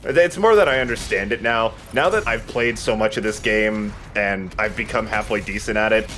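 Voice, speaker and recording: A man's voice: on the low side, normal speed, bright, forceful tone, a little hiss or hum. A man chatting casually as he commentates on his gameplay, in an informal, self-deprecating way. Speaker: let's player playing and commentating. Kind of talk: casual gaming commentary chat.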